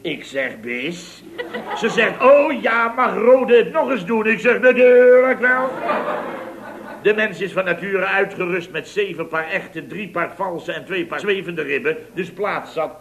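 An indignant, blustering builder boss from a vintage Dutch radio comedy. His voice sits fairly high and swings widely as he spins tall tales with theatrical exclamations. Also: lively intonation, measured pace, a bit bright, a little hiss or hum, heavily acted. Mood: excited storytelling. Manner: theatrical, exclamatory storytelling with repeated tag words. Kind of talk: 1960s-70s Dutch radio comedy acting.

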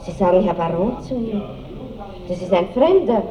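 A woman's voice: mid-range voice, expressive, moderate pace, crowd noise, lightly acted. An actress playing an aristocratic married lady in a radio play, tender, teasing and commanding toward her lover, refined and theatrical. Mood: haughty, excited. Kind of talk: mid-century German radio play acting. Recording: echoey, muffled old radio recording with hiss.

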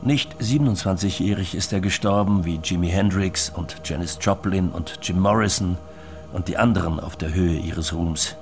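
An actor playing a music producer who recalls a singer's rise matter-of-factly in a radio drama monologue. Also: male voice, deep voice, normal speed.

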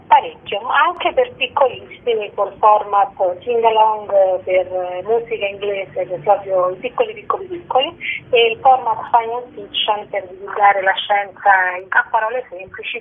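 A woman with a husky voice speaking informally as a theatre guest in a radio interview over a phone line. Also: mid-range voice, normal speed. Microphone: telephone. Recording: narrow tinny phone line.

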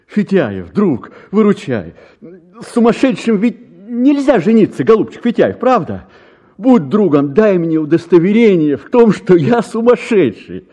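High-pitched, frantic young suitor. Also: male voice, mellow. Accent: Russian. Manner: halting, stammering, pleading, theatrically confessional. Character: panicky, conniving young suitor desperate to escape marriage. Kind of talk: theatrical radio drama acting of a classic comic story.

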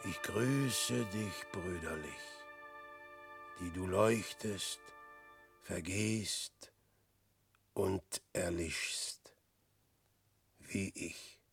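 An actor in a classic German radio play, playing an aging, despairing wanderer who speaks slow, declamatory verse. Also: male voice, deep voice, vocal fry, faint music behind, heavily acted. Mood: lamenting. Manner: slow, declamatory verse, swinging from loud outbursts to hushed murmurs. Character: Peer, an aging despairing wanderer facing death.

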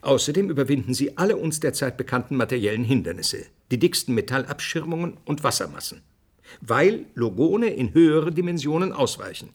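An actor playing an earnest, didactic scientist being interviewed in a radio drama. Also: male voice, mid-range voice, sing-song, brisk, slightly rough. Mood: lecturing. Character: earnest male scientist, explaining theory, brusquely dismissive of speculation.

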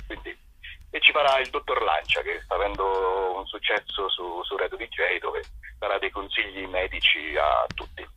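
A man's voice: on the low side, pushed and bright, husky, dry recording, lo-fi sound. A man talking casually as an interview guest, in a playful, hesitant way, often repeating and restarting his phrases. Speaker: actor and author, interview guest.

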